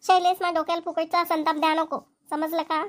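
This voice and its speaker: A woman's voice: very high-pitched, little pitch movement, fast, dry recording. A woman voicing village women in a cartoon comedy, speaking colloquial rural Vidarbha Marathi. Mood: irritated. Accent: Maharashtrian, rural Vidarbha Marathi. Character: young village women, mothers and nagging wives in a comedy.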